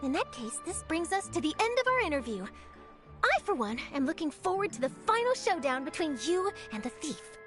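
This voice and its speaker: A woman's voice: extremely high pitch, sing-song, brisk. A woman playing an eager, bubbly young newspaper reporter in an anime game, chirpy, polite and enthusiastic. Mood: polite, curious. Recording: music playing behind.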